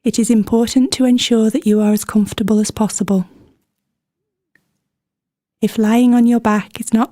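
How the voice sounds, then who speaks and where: A woman leading a guided meditation in a gentle, soothing manner, with scripted instructions and unhurried pauses between phrases. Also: mid-range voice, no room sound, studio quality.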